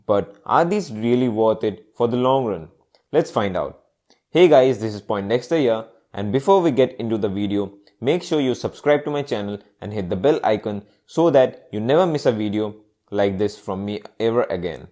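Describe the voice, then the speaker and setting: A man's voice: on the low side, expressive, normal speed, mellow. A man presenting a scripted product review as a video reviewer, in narration style. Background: background music.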